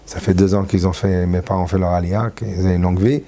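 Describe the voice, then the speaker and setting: A man in his sixties from France, a rabbi recalling his life in an interview, halting often with fillers and restarts. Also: low-pitched, steady tone, mellow. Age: 60s. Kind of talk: reminiscing interview.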